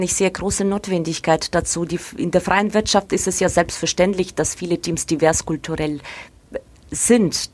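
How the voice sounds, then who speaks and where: A woman journalist being interviewed, for whom German is not the first language, speaking in a thoughtful, earnest and measured way. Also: lowish voice. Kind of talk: conversational interview.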